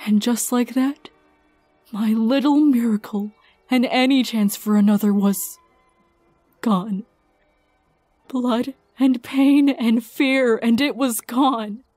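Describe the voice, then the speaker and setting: A woman voicing a grief-worn, sardonic scientist in a fan audio drama, halting, wry and guarded. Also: on the high side, fairly even intonation, darkish tone, dry recording, soft background music. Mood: pained confession.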